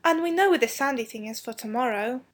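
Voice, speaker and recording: A woman's voice: a little high, expressive, fast talker, pitched above the performer's own voice. An earnest young schoolboy speaks in a raised, boyish voice, as a woman reads him. He sounds apologetic but sensible.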